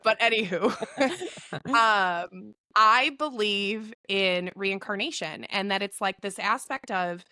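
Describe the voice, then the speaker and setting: Excitable, foul-mouthed woman podcast host. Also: medium pitch, slow pace, no room sound. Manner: profane, excitable, emphatic. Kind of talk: casual podcast chat.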